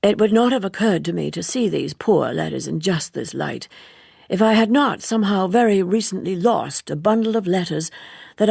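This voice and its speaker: A woman reading the part of a grave, formal older gentleman writer in a storybook, husky and halting, with a wounded air. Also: medium pitch, dry recording, clean studio sound.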